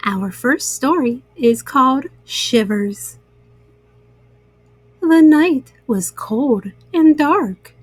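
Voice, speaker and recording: A woman reading a storybook to young children in an expressive, soothing and reassuring manner. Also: high voice, very slow, slightly rough, no room sound.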